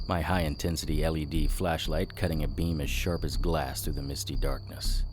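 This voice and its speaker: Deep, deadpan hard-boiled detective. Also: male voice. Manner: wry, deadpan. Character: hard-boiled, sardonic male occult investigator. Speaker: narrator and lead character. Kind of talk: first-person noir narration in audio drama.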